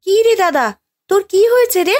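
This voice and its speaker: A woman's voice: very high voice, animated, moderate pace, darkish tone. A woman voicing a worried young sibling, anxious and urgent, in a horror cartoon.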